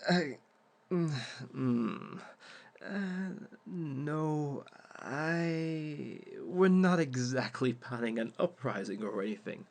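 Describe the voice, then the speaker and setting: A man's voice: fairly high pitch, animated, exaggerated voice. A man playing a smug male villain who hosts a dating workshop, speaking in a dry, teasing way to an unseen audience. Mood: wry. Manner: dry, teasing, unhurried, talks to unseen listeners. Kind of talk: audio roleplay monologue.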